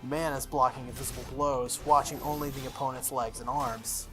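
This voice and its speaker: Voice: mid-range voice, steady tone, a little fast. A playthrough host reading visual novel text aloud, with enthusiastic, gushing asides. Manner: enthusiastic, gushing asides between read narration. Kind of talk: reading game text aloud with excited commentary. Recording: background game music. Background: game music.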